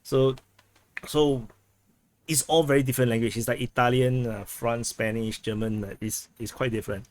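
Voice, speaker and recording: A man in casual group chat on a low-quality backup recording, talking haltingly with many restarts while he explains things through comparisons. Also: fairly even intonation, no echo. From Southeast Asia. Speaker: chat participant. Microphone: video call.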